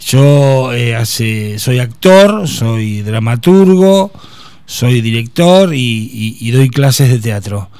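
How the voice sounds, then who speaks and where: A man's voice: mid-range voice, expressive, slow pace, darkish tone, clean tone, no room sound. A man in his fifties with an Argentine accent, telling his life story as an interview guest, with many hesitations and restarts. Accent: Argentine Spanish. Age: 50s. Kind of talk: casual interview.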